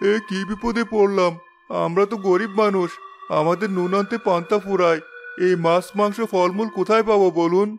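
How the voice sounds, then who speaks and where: A man's voice: high-pitched, steady tone, moderate pace, natural voice. A man playing a poor, honest villager in a cartoon fairy tale, with an earnest, anxious and pleading manner. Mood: dismayed. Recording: music bed under the voice.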